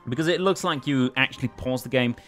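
A man's voice: mid-range voice, fast talker, a bit bright, soft background music. A man casually thinking aloud as he commentates a video game. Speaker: let's play host.

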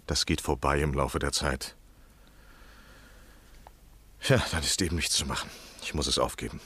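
A courteous, evasive scholar in a radio play, obsessed and scheming. His voice sits very low, with a husky rasp that keeps the words intimate. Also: steady tone, fast talker, a bit bright, heavily acted. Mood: polite, resigned. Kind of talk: German radio play acting.

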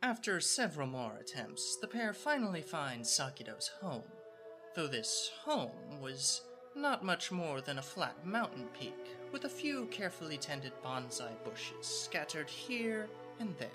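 A woman's voice: sits low, very animated, measured pace, poor audio. A woman performing scripted storybook narration of a comic adventure in a motion comic radio play. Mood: measured. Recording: music behind the voice.

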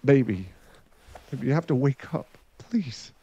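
A man's voice: mid-range voice, lively intonation, unhurried, mellow, husky, lo-fi sound. A British man playing a caring, chatty young boyfriend who is easily flustered, in an intimate ASMR roleplay. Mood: anxious, shaken.

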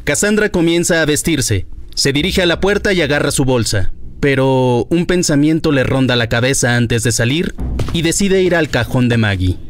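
A man's voice: mid-range voice, expressive, moderate pace. Male radio soap narrator over music.

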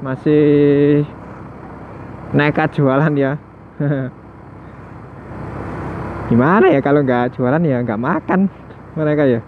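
A man's voice: on the high side, takes it slowly, pauses often. A man riding a motorbike at night, chatting casually to his viewers through a headset mic and musing aloud with the odd chuckle. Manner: chatty, muses aloud, chuckles now and then. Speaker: motovlogger. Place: on a motorbike on night city streets. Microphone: headset mic worn while riding. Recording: tinny helmet mic with loud road noise.

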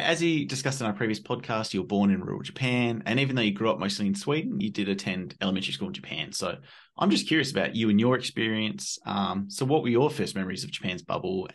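An Australian-accented man in his twenties hosts a podcast. His voice sits a little low, and he asks his questions in a friendly, easygoing way with casual slang. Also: animated, fast, gravelly. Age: 20s. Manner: friendly, laid-back, slangy. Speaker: podcast host. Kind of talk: casual interview.

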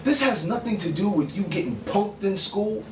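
New guy doing spoken word on a small stage, high voice that swings a lot, dramatic pauses, roomy far off mic. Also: animated. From the Bronx, New York, USA. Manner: theatrical, pointed, with rhetorical questions and deliberate pauses. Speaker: spoken word poet and actor. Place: small dim bar stage, indoors. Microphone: far camera mic, stage mic unused beside him. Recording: roomy, distant camera mic with background noise.

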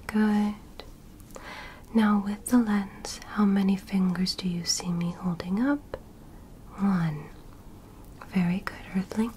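A young woman playing a calm, curious female alien medical examiner in an ASMR roleplay, in a soft, breathy whisper with a gentle clinical manner. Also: medium pitch, slight background noise, close to an everyday voice. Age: young adult. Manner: slow, gentle, clinical, politely instructing. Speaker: ASMR performer. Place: small bedroom set, indoors. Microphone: close microphone.

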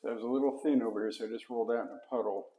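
A man giving casual how-to instructions while he works, talking himself through each step and dropping to quiet mutters. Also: mid-range voice, steady tone, very fast, low-quality recording. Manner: talks to himself mid-task, drops to mutters. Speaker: flooring installer demonstrating. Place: basement, indoors.

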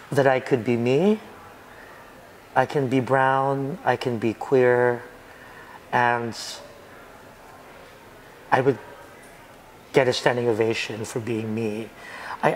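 A man's voice: medium pitch, slight background noise, rough recording. A man in his forties, a spoken word poet, talking animatedly and dramatically in a documentary interview. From United States. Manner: animated, dramatic, gesturing expressively. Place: restaurant booth and outdoor graffiti alley.

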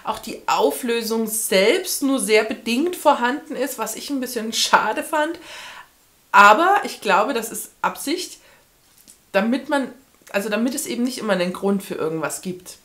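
A woman's voice: on the high side, animated, normal speed, a bit bright. A woman in her thirties reviewing books casually to camera, chatting and thinking aloud as she goes, recorded in a furnished room. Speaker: book vlogger.

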